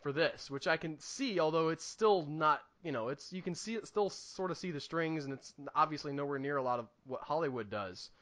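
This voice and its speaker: A man co-hosting a podcast, casually discussing films in an animated, emphatic and opinionated way. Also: on the high side, a little fast, hardly any pauses. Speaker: podcast co-host reviewing films.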